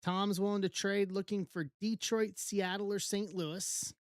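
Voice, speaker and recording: Slow-talking woman host, dry sound. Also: mid-range voice, steady tone, dry recording. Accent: East Coast American. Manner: slow, reading out a list in short bursts. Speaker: card break host. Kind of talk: live stream chat.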